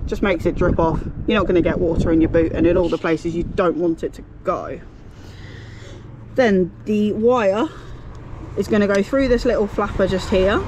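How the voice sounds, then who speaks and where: A young British woman talks through a hands-on job in a chatty, joking way. Her pitch is middling for a woman. The recording has clear background noise, made in and around a car on a street. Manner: chatty, jokey, self-deprecating, playful slang. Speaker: vlogger presenting a car DIY guide. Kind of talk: casual hands-on tutorial. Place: residential street, outside and inside a car. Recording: noisy outdoor car recording.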